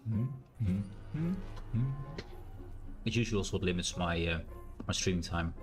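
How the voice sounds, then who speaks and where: Loud-voiced man streaming a game. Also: low voice, expressive, normal speed, over music. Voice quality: naturally loud voice. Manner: rambling, thinks aloud with fillers and self-corrections. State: sore, strained throat. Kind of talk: casual live-stream gameplay commentary.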